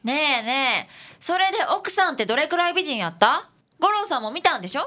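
A woman's voice: sits high, sing-song, fast talker, bright, forceful tone, full character voice. A woman playing a bubbly, inquisitive detective's assistant with a Kansai accent in a radio drama, with a teasing manner. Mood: curious. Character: perky, curious female detective's assistant.

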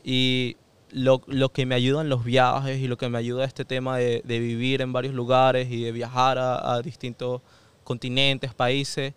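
A man's voice: medium pitch, completely flat delivery, a little slow, smooth, no room sound. A young man with a Venezuelan accent, a podcast guest thinking aloud in casual conversation, with frequent fillers and restarts.

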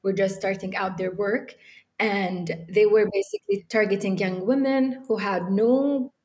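A woman's voice: medium pitch, fairly even intonation, measured pace, darkish tone. A young woman from Libya, talking as an interview guest, hesitant with many ums and restarts. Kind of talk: casual interview talk.

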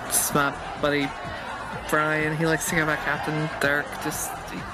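A man's voice: a little high, monotone, a little slow, lightly acted, poor audio. A man playing a friendly, awkward matchmaking sidekick in an improvised audio comedy, hesitant and colloquial. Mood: awkward, flustered. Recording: voice amid very loud music, outdoor crowd.

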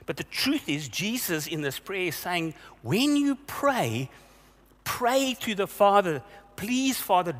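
A man preaching slowly and deliberately, his pitch rising and falling with lively emphasis. His voice sits a little high for a man. Long reverb trails each phrase, as in a large church hall. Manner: earnest, questioning, with emphatic repeats and restarts. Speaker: pastor. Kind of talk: sermon.

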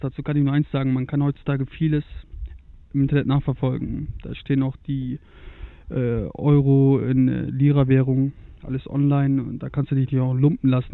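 A man's voice: mid-range voice, clear, resonant tone, dry recording. A young man from Germany, chatting as a guest about travel, hesitant, with many fillers. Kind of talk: casual conversation about travel. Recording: tinny lo-fi phone-like sound.

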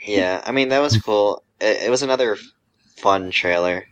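Man, low deep voice, podcast panelist, casual conversation. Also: moderate pace, a bit bright, dull audio. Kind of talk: casual chat.

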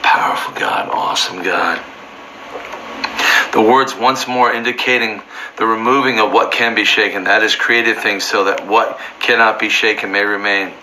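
A slightly hoarse man teaching from the Bible informally, fervent and building to near-shouting emphasis. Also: lowish voice, fairly even intonation, normal speed, slight background noise, poor audio.

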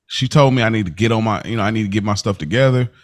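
A man co-hosting a casual podcast, giving long, relaxed explanations and laughing easily. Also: on the low side. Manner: laid-back, drawn-out explanations, laughs easily. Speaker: podcast co-host. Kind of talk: casual TV recap chat.

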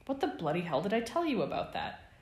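A bossy schoolboy , as a woman narrator acts him out, scolds and teases with mock-stern insistence. The storybook delivery sits low for a female voice, in an echoing room. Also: expressive, unhurried. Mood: irritated, scolding. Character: bossy, teasing, scandalized schoolboy friend.

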